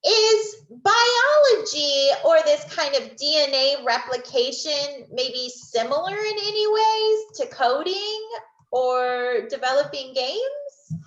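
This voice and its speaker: A woman's voice: sits high, sing-song, slow pace, a bit bright. A woman moderating a video session for children, encouraging them and passing along their questions. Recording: echoey, dull video call audio.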